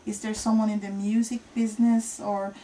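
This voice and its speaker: A woman hosting a radio show, asking friendly questions with some hesitation and steady encouraging murmurs. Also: medium pitch, moderate pace, recorded from a distance, crowd noise, rough recording. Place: radio studio.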